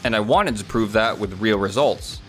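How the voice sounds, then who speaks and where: A man's voice: lowish voice, moderate pace, soft background music, close to an everyday voice. A man voicing an earnest, conciliatory young office worker in an English manga dub, sincere and persuasive.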